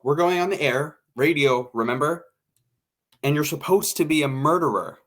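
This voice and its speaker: A man's voice: on the high side, normal speed, fairly bright tone. A man acting in an old-time radio drama as an impatient, sarcastic, slangy radio director. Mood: exasperated.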